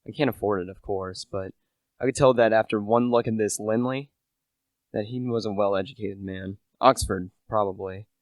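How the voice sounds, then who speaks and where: A man's voice: lowish voice, steady tone, normal speed, no room sound, close to an everyday voice. A teenage student actor playing a humble small-time salesman, hesitant and confiding, in an amateur radio play. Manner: hesitant, self-effacing, confiding. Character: humble, deferential small-time relish salesman narrating his tale. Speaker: high school drama student.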